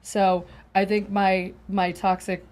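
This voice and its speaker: Woman guest, thirties, mid-range voice, measured and confiding. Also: medium pitch, flat delivery, a little mellow. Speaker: panel guest. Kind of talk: casual panel discussion.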